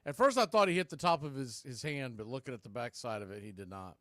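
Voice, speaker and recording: A man's voice: mid-range voice, expressive, quick pace, fairly bright tone, no echo, studio quality. A man doing live baseball play-by-play in clipped phrases with conversational asides. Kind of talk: live sports commentary.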